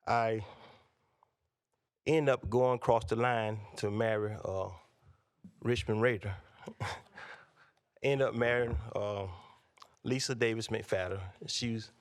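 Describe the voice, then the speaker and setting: A man, introducing himself as a candidate at a local forum in a measured, plainspoken way. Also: mid-range voice. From North Carolina, USA. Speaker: candidate for county commissioner.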